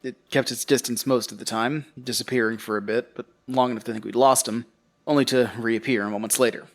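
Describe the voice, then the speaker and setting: An actor playing a weary, uneasy survivor who tells his story in a halting, confessional way for an audio drama. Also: medium pitch, steady tone, lightly acted. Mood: weary, reflective. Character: weary, uneasy male survivor recounting a horror ordeal. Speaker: narrator and lead character. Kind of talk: audio drama first-person narration.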